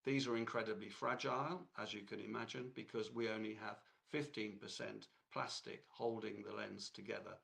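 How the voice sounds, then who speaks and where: A man with a cold, hoarse, giving a technical lecture in a halting way with many fillers and restarts. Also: lowish voice, fairly even intonation, fairly bright tone.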